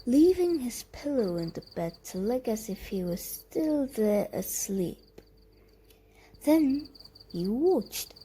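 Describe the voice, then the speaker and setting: A woman reading a children's bedtime story in a dramatic, deliberate way, addressing the listener directly. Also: a little high, dark tone, slight background noise, poor audio.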